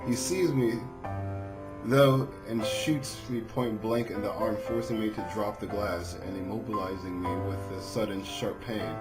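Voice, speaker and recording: A man's voice: on the low side, steady tone, a little slow, rough recording. A man hosting a let's play, commenting and reading a visual novel aloud into a microphone over game music. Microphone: dedicated microphone. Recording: loud game music behind the voice.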